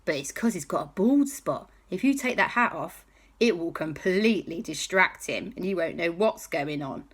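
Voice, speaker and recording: A woman's voice: fairly low pitch, lively intonation, normal speed, breathy edge. A woman voicing a helpful female informant explaining things plainly.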